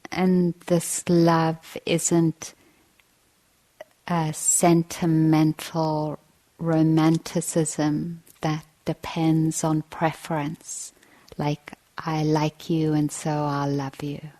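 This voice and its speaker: Female meditation teacher, low and fairly even in tone, gentle, measured delivery with generous pauses. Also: rough recording. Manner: gentle, measured, with long pauses between short phrases. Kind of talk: guided meditation dharma talk.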